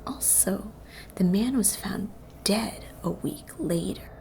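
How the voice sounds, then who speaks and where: A woman's voice: mid-range voice, slow, creaky, darkish tone, a little hiss or hum, poor audio. A woman playing a young college student of the occult, narrating her story in a wry, world-weary, confiding way for an audio drama. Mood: intrigued. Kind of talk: first-person scripted audio drama narration.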